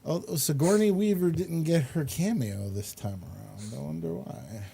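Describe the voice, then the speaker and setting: A man's voice: a little high, animated, normal speed, vocal fry, warm tone, slightly rough. A man chatting casually and opinionatedly about pop culture, rambling as he explains and throwing in exasperated asides. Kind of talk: casual chat about films and comics.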